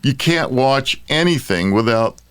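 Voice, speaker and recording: A man's voice: mid-range voice, animated, measured pace, a bit bright, clean tone, no room sound. An American man hosting a casual podcast chat, bantering in an exasperated way. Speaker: podcast host.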